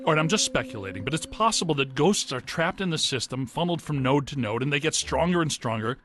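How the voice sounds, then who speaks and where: A man voicing a literal-minded, brainy scientist in a video game cutscene, deadpan and clinically precise. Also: a little high, quick pace, music in the background, close to an everyday voice.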